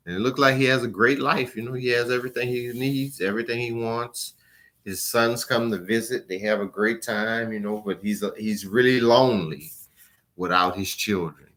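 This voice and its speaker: A man talking casually and informally as a book reviewer, in a halting, rambling way that trails off at the ends of phrases. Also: on the low side, fairly bright tone.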